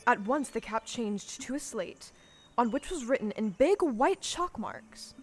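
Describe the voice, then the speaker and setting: A woman playing a measured, gentle storybook narrator in a scripted audio play. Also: on the high side, animated. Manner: measured, clearly phrased.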